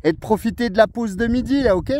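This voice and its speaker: Male radio hobbyist, high-pitched voice, chatty upbeat delivery, casual on-air conversation. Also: fast, darkish tone, slight background noise. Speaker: amateur radio operator. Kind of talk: casual radio contact chat.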